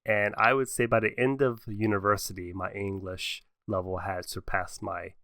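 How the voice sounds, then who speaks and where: A young man with a bright, fairly low voice chats easily on a podcast. He laughs often and thinks aloud in a relaxed way. The sound is dry and close to the mic. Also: moderate pace, dry recording. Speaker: podcast co-host. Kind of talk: casual conversation.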